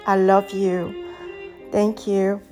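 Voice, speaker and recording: A woman with a French accent, a native French speaker, reading her own poetry in a gentle, reflective way. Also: medium pitch, level tone, extremely slow, soft background music. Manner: gentle, reflective, soft-spoken.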